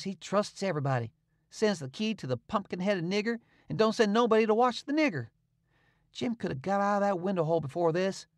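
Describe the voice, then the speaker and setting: A man's voice: high voice, no echo, studio quality, voice pushed up. A man voicing a sly, bossy teenage boy who schemes and plays innocent. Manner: brash, quick, mock-innocent.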